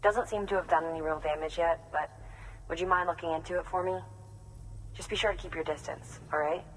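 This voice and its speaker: An actor playing a calm, soft-spoken male scientist in a video game, reporting in a polite, precise and careful way. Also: on the high side, fairly quick, in a large hall, a little hiss or hum, lightly acted, low-quality recording. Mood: calm, concerned.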